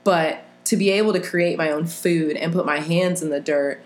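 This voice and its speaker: A woman's voice: low voice, moderate pace. A woman talking earnestly and thoughtfully as a guest in a casual conversation, laughing easily. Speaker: podcast guest. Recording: roomy echo.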